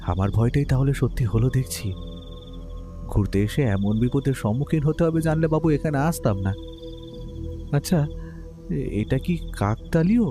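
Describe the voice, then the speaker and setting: An actor playing a detective's curious, slightly anxious friend, telling the story expressively. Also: male voice, medium pitch, lightly acted. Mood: anxious, questioning. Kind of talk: dramatised first-person story narration. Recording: background music under the voice.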